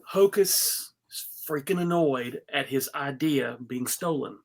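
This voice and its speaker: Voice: mid-range voice, measured pace, vocal fry. A performer reading out scene directions from a script, with casual asides at a table read. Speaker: reader of stage directions.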